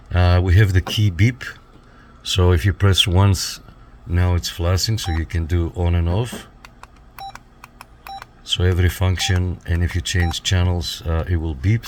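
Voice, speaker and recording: A man giving a casual, hands-on product walkthrough, explaining step by step and often checking in with a quick okay. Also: sits low, darkish tone, slight background noise. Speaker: radio reviewer, demonstrator.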